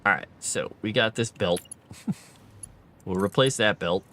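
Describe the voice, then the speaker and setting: A man's voice: fairly low pitch, unhurried, fairly bright tone, a little hiss or hum. A tired man streaming a game late at night, thinking aloud and chatting with viewers. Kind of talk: casual gameplay live stream commentary.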